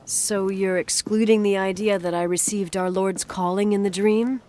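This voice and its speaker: A woman's voice: mid-range voice, steady tone, moderate pace, warm tone, slight background noise, natural voice. A woman playing a wry, guarded character in a game, speaking in a hesitant, dryly sarcastic, naturalistic conversational way. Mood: reflective, wry. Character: wry, guarded adult woman recalling her childhood.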